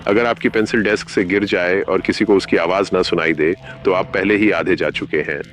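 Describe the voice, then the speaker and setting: A man narrating a scripted analog horror safety bulletin in a formal, measured announcer manner. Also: deep voice, steady tone, a bit bright, soft background music.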